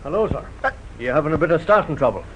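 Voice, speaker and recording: An actor playing a sly, matter-of-fact police constable in a 1950s radio drama. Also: male voice, a little high, animated, racing, natural voice. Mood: slyly helpful. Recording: very noisy, muffled old radio recording.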